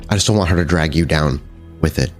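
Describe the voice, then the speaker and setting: A man voicing a wary, caring female vampire who speaks in halting, earnest bursts with many restarts. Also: rumbling low, faint music behind. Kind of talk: in-character roleplay dialogue.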